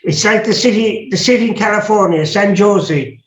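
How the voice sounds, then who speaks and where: A man's voice: high-pitched, normal speed. A man with an Irish accent hosting a casual interview, musing aloud and often restarting his words. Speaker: host. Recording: echoey room, dull lo-fi sound.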